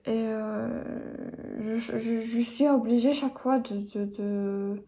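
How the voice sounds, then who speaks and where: A woman's voice: on the high side, fairly even intonation, takes it slowly, mellow. A young woman who is not a native French speaker, speaking in a casual video diary, hesitant, with many fillers and restarts. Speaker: vlogger.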